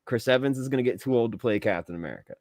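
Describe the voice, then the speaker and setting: A man's voice: mid-range voice, very fast, a little mellow, no room sound, clean studio sound. A middle-aged man co-hosting a podcast, chatting casually in a blunt, emphatic way. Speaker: podcast co-host. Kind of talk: casual chat.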